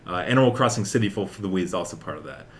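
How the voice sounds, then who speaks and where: A young man with a deep voice talks casually as a podcast co-host. He rambles along with plenty of enthusiasm, over a faint layer of background noise. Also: lively intonation, rapid-fire. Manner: enthusiastic, rambling, frequently chuckling. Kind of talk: casual chat about video games.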